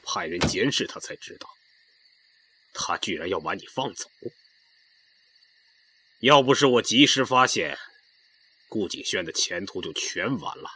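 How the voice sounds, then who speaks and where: A man's voice: deep voice, expressive, measured pace, long pauses, pushed and bright, slight background noise, full character voice. A man playing a stern, suspicious army division commander in a Republican-era Chinese military radio drama. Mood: stern suspicion. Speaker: amateur audio drama voice actor.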